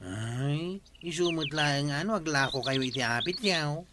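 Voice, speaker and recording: A man's voice: a little high, lively intonation, a little slow, background noise. A man with an Ilocano accent playing a friendly, encouraging village neighbour in a radio comedy drama.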